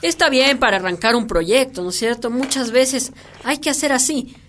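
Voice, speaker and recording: Una mujer que interpreta en un radioteatro a una persona organizadora de radio comunitaria, franca, persuasiva e irónica, de habla coloquial. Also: female voice, high-pitched, moderate pace, fairly bright tone, slight background noise. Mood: persuasive, wry. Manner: colloquial, persuasive, wry. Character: pragmatic, outspoken community radio organizer. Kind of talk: radio drama acting.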